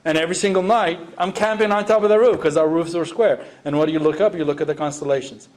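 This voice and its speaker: Male panelist from Iraq, slightly high voice, emphatic, rhetorical, unscripted educator talk. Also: fairly even intonation, fast, darkish tone. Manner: emphatic, repeats phrases and poses rhetorical questions. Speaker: education panelist, former science teacher. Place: live panel event.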